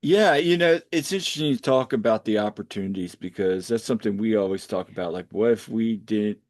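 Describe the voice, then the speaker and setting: A man's voice: on the low side, a little fast, a little mellow, no room sound, studio quality. A man from Pennsylvania with an American accent, interviewing casually and conversationally as a podcast host. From United States, Pennsylvania.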